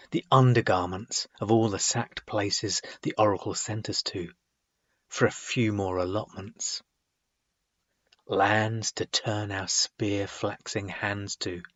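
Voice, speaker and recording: A man reading his own poetry dramatically, in measured, deliberate phrases broken by frequent pauses. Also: fairly low pitch, fairly bright tone, husky, dry recording, highs cut off. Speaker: poet reading own work.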